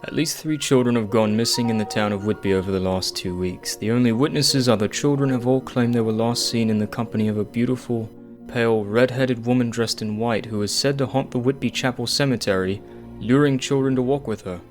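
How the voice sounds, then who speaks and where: An actor playing a grieving, skeptical sanitarium doctor in a radio play, formal, weary and stubborn. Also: male voice, mid-range voice, brisk, soft background music, close to an everyday voice. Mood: reading gravely.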